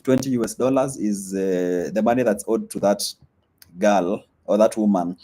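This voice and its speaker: A young man talks casually into a live stream. His voice sits on the low side, and his speech is loose, with plenty of fillers. His is Swahili. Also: normal speed, dark tone, a little hiss or hum. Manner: loose and chatty, many fillers, reads comments aloud. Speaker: vlogger hosting a live stream. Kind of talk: casual live-stream chat reading comments.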